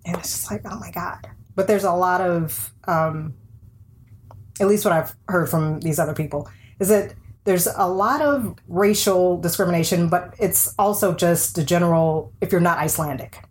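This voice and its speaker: A woman's voice: on the low side, moderate pace, slight background noise. A woman co-hosting a podcast, talking candidly and wryly, often acting out what others said. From American South. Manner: candid, wry, acts out quoted remarks. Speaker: podcast co-host. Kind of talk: casual conversation.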